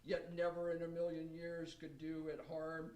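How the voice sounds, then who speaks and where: A man's voice: on the high side, monotone, normal speed, a little mellow, distant mic, close to an everyday voice. Kindly, earnest Father Christmas figure, played by a man, reciting radio-play verse in a roomy echo, off mic. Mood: earnest, lyrical. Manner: declaiming rhymed verse, earnest. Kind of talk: amateur radio play acting.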